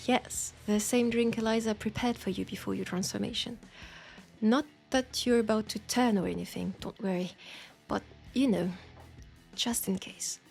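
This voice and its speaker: A woman's voice: mid-range voice, moderate pace, creaky, a little mellow, faint music behind, lightly acted. A woman with a French accent playing a teasing, nurturing witch who tames werewolves, chatting playfully and coaxingly to the listener in an audio roleplay. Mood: chatty, reassuring. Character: teasing, nurturing female witch, self-styled werewolf tamer.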